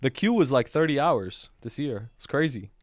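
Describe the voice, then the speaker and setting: A man's voice: medium pitch, expressive, normal speed, no echo, clean studio sound. A young man chatting casually as a streamer, blunt and sweary, with lots of slang. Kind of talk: casual chat.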